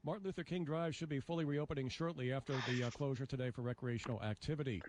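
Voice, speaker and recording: A man's voice: medium pitch, fast talker. A man reading a traffic report on news radio as its reporter.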